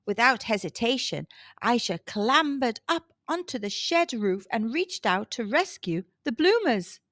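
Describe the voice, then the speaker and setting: A woman reads a children's storybook in a high, very animated voice. She takes her time and leans on key words dramatically, with a close, dry sound. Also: no room sound. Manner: dramatic, deliberate emphasis. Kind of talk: scripted storybook narration for kids.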